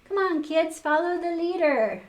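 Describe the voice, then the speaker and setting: A woman voicing a jolly, innocent storybook snowman who speaks in drawn-out, wondering exclamations. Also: very high voice, expressive, pitched above the performer's own voice. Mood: excited. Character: jolly, innocent snowman newly come to life. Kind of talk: children's storybook character voice.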